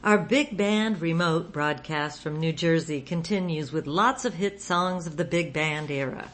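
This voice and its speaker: A woman's voice: deep voice, a little slow. A woman presenting a radio show from a script.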